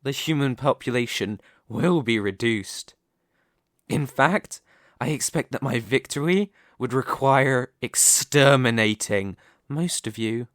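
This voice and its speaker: Man as immortal demon king for ASMR roleplay: cold, calculating, domineering, very unhurried delivery, close dry sound. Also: medium pitch, a bit bright, no echo. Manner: deliberate, condescending, menacing.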